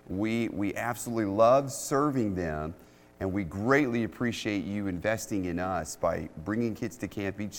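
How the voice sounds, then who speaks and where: A middle-aged man with a big, bold voice, preaching a sermon to a church congregation as a guest speaker in emphatic, repeated short phrases. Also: fairly low pitch. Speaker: guest preacher, youth camp director. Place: indoors in a church, speaking into a microphone. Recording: reverberant church hall, long reverb.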